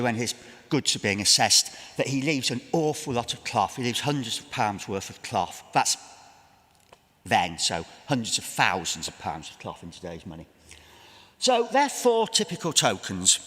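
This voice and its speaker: A middle-aged English man talks through his slides in a relaxed, self-mocking way, often filling pauses with ums. His voice sits at an ordinary pitch. It rings out with the long reverb of a big church, heard from a distance. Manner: chatty, self-deprecating, with frequent ums. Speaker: historian giving a public talk. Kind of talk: public lecture with slides. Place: large church with a seated audience.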